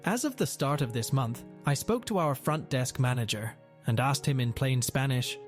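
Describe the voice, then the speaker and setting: A man narrating a first-person workplace story in a steady, even storytelling read. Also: medium pitch. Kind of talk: scripted narration of a reddit story. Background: faint music.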